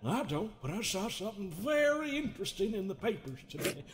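An unhinged, folksy therapist in a comedic horror game dub. He talks high and swoops wildly between mockery and menace. Clear noise sits behind the voice. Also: very animated, fairly quick. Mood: sly, amused. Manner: theatrical, mocking, folksy, swinging into giggles.

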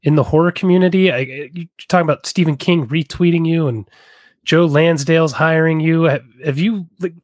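A man's voice: on the high side, fairly even intonation, brisk, no room sound. A middle-aged man hosting a podcast, interviewing casually into a close microphone. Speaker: podcast host. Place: home room. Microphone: close studio mic.

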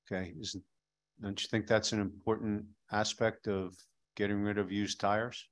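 A man's voice: fairly low pitch, little pitch movement, moderate pace. A middle-aged man questioning a witness at a legislative hearing, probing and skeptical, speaking into a desk microphone in a hearing room.

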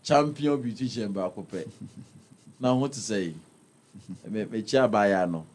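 A man's voice: fairly low pitch, expressive, normal speed, a little mellow. A Ghanaian man in his thirties hosting a radio interview, prompting his guest with questions in Twi and English from a studio mic. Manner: prompting, reads out listener thanks. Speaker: radio host. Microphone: studio mic, headphones on.

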